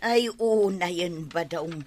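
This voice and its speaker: A woman's voice: lowish voice, slow, darkish tone. Firm, reproachful village woman. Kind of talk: radio drama acting.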